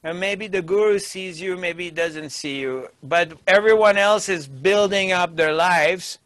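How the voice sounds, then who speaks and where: A middle-aged man giving a spiritual discourse as a teacher, emphatic and deliberate, with pauses. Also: high voice, fairly bright tone, no room sound. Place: small dark indoor studio. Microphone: lapel mic.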